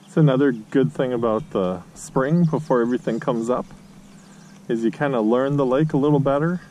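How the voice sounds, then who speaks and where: A man's voice: medium pitch, moderate pace. Middle-aged man, easygoing fishing talk, outdoors on a lake, faint background noise. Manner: relaxed, chatty, cheering on fish. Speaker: fishing vlogger. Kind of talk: casual fishing commentary. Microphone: action camera.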